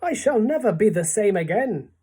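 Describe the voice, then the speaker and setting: A woman's voice: medium pitch, big pitch swings, normal speed, mellow, husky, voice pushed up. A woman voicing a gloomy male earthworm in a storybook, complaining dramatically and full of doom. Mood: mournful.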